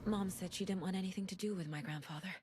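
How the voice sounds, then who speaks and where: Actress doing low voiced determined lady investigator, game monologue, measured and intent, noisy background. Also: lightly acted. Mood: hushed, reflective. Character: determined woman seer investigator, probing and reflective. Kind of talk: video game acting, introspective monologue.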